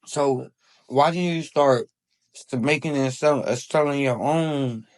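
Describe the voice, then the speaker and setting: A man's voice: medium pitch, slow pace. Curious young man full of questions. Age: 30s. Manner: curious, probing questions with many fillers like "like". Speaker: grandson asking questions. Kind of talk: casual interview.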